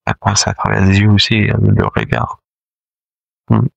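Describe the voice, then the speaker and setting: A low-voiced man with a gravelly, creaky edge, speaking French as his second language. As a choir director in a relaxed interview, he talks hesitantly with lots of fillers and restarts. The sound is close and dry. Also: unhurried, no room sound, highs cut off. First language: not French; French is his second language. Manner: hesitant, many fillers and restarts, laughs often. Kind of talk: casual interview conversation.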